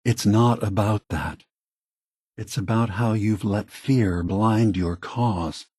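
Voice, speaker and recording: An actor playing a gentle, weary old male android leader in a video game, husky and soft-spoken, with a measured, contemplative, earnest manner. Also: fairly low pitch, no echo, studio quality. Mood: earnest, concerned. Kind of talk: video game character dialogue.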